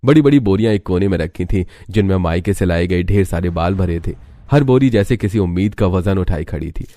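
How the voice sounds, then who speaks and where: Deep, mellow male storyteller. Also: animated, a little fast, no echo. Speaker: narrator. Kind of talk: scripted dramatic story narration.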